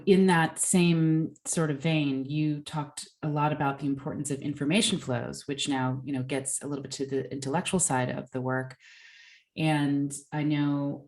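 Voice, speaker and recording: A woman interviewing a guest, who answers her warmly and asks her questions with many "you know" fillers. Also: deep voice.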